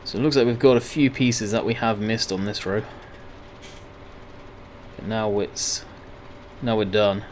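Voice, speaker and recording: Slightly croaky man chatting through gameplay. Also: fairly low pitch, fairly even intonation, measured pace, pauses often, a little hiss or hum. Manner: rambling, chatty, self-correcting. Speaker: gaming video host. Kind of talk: casual gameplay commentary.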